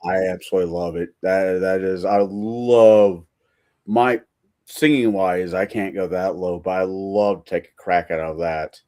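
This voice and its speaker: Very deep, slow-talking man. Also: little pitch movement, mellow. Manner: hesitant, many fillers. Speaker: panelist. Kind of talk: casual panel chat.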